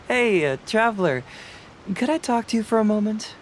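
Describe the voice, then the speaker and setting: A man voicing a young, sharp-witted detective in a game, playful and self-assured with light laughs. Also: high-pitched, a little hiss or hum. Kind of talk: game voice acting.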